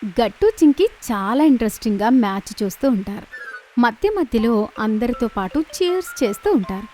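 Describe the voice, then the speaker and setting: A woman reading scripted narration for an animated children's story. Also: fairly high pitch, normal speed, faint music behind.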